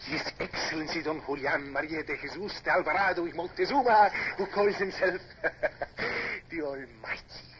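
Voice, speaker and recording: An actor playing a smug, courtly young Spanish naval captain in a radio drama, formal and silkily polite. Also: male voice, a little high, moderate pace, hardly any pauses, fairly bright tone, close to an everyday voice. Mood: sly. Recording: dull, hissy vintage radio recording.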